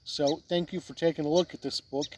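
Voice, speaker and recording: A man giving a casual, unscripted review as a video host, plain-spoken with halting phrasing, with wind on the recording. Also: a little high, fairly even intonation. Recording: wind noise on the mic.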